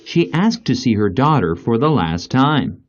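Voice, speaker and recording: A man reading a scripted fairy tale as storyteller, in a slow, deliberate, expressive way. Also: medium pitch, highs cut off.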